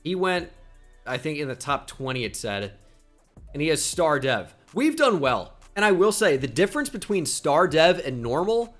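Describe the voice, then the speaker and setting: A man's voice: fairly high pitch, a bit bright. A young man from New Jersey with a slight New Jersey accent, chatting casually as he comments on a football video game and thinks aloud with self-deprecating asides. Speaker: gaming YouTuber.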